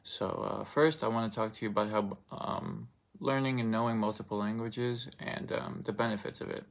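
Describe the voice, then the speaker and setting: Young male speaker, first language Russian, low gravelly voice, rambling student-style presentation. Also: fairly even intonation, moderate pace. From Russian-speaking country. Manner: loose, filler-heavy delivery with many restarts. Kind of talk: informative speech.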